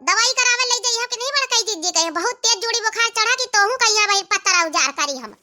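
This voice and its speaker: Woman voicing a very shrill, scolding village sister-in-law. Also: lively intonation, quick pace, pushed and bright, soft background music, full character voice. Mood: bossy, threatening. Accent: Awadhi. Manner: scolding, combative, rhetorical. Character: fiery, scolding young village sister-in-law defending a sick neighbour. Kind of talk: Hindi-belt comedy cartoon dialogue.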